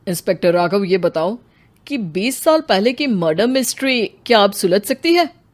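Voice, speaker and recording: A woman voicing a commanding senior male police officer in a cartoon, with firm, measured questions. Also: medium pitch, expressive, lightly acted.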